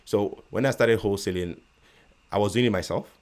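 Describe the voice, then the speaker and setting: A man from Nigeria with a Nigerian accent and a very deep voice, talking as an interview guest in a measured way, with restarts and a slight stutter. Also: darkish tone. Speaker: guest, real estate investor. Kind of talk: casual interview conversation.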